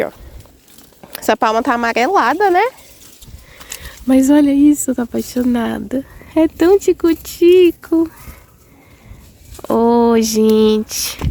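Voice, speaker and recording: A Brazilian woman chats her way through a casual outdoor vlog, her voice sitting a bit high and a little congested from a cold. She exclaims over small things as she walks. Clear wind noise rushes over the phone recording. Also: warm tone. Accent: Brazilian Portuguese. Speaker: vlogger. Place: outdoors on rural land, windy. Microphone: handheld phone.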